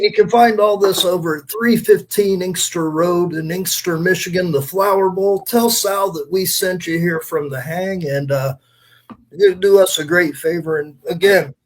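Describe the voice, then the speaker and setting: A man's voice: sits high, poor audio. A man co-hosting a podcast, chatting casually and plugging things in a joking, loose-tongued manner. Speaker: podcast co-host. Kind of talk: casual chat with promotional plugs.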